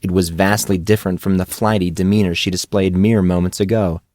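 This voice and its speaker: A man's voice: low voice, fairly even intonation, brisk, a little mellow, no echo, clean studio sound. A man reading a scripted fantasy story as an audiobook narrator.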